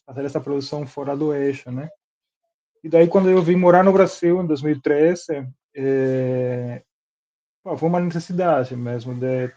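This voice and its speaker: A man's voice: mid-range voice, warm tone, dull audio. A man from Cuba with a Cuban accent and Spanish as his first language, answering informally as an interview guest. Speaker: interview guest, film producer and director.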